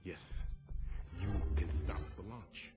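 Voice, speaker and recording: A captive government chief in a video game cutscene. He is low-pitched, deliberate and urgent, explaining like a conspirator. The recording is slightly dull, with loud music underneath. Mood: urgent, explanatory. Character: captive male defense agency chief, urgent and probing.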